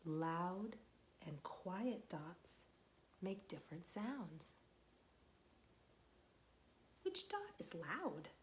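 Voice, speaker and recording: A young woman, a children's librarian, reading a picture book aloud to small children, gentle and patient, asking them questions and waiting for their answers. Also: lowish voice, sing-song, very slow, long pauses, slight background noise.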